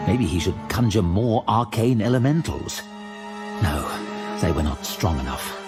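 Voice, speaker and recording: A man's voice: on the low side, animated, unhurried, over music. A man narrating a scripted fantasy audio drama in a dramatic storytelling style.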